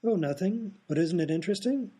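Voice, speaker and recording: A man's voice: high voice, expressive, a little fast, warm tone, husky. A man playing an absent-minded, oblivious hospital doctor who rambles matter-of-factly in an absurd radio comedy sketch. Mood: absent-minded, breezy. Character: daft, absent-minded male hospital doctor, cheerfully off-topic.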